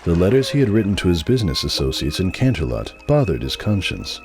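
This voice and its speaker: A man's voice: deep voice, big pitch swings, brisk, a little mellow, soft background music. A man reading a story aloud as a scripted dramatic narration.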